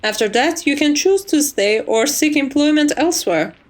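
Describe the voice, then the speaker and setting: A woman's voice: sits high, animated, normal speed. A woman playing a composed, courteous vampire's maid in an ASMR roleplay, formal and reassuring with a wry tease. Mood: teasing.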